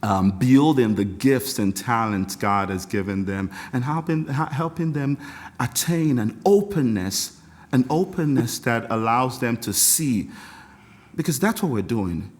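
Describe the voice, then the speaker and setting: A middle-aged man from Nigeria with a Nigerian accent, a music educator chatting as a podcast guest, reflective and animated, laughing often as he tells stories. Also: mid-range voice, a little slow. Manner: reflective, animated storyteller with frequent laughs and restarts. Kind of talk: casual interview talk.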